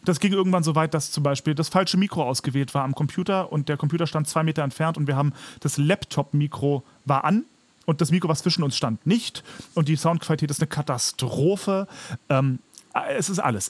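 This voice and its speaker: Casual man, fairly high voice, dry sound. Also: fairly quick, dry recording. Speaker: podcast co-host. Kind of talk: casual comedic chat.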